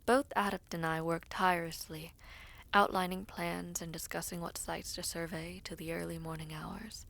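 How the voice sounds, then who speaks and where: A woman playing a formal, composed villainess devoted to her prince, speaking in slow, breathy, measured phrases in a fantasy audio roleplay. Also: on the low side, level tone, a bit bright. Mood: somber, reflective. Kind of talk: fantasy audio roleplay with first-person narration.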